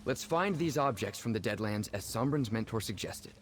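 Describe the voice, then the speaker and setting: A man's voice: on the low side, normal speed, background noise, lightly acted. A man playing an eager, cocky young twin brother with an optimistic streak in a fantasy game. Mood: excited. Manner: animated, confident. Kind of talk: scripted fantasy game dialogue. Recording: game dialogue over loud ambience.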